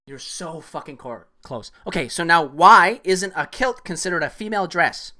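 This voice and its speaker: A man's voice: a little high, lively intonation, normal speed, sharp tone. A man arguing heatedly with a viewer on his live stream, swearing often. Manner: heated, argumentative, swears a lot. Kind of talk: casual live-stream rant.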